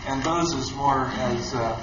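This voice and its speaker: A man's voice: medium pitch, unhurried. A man in a lecture audience asking a tentative, hesitant question. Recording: echoey hall, muffled, noisy.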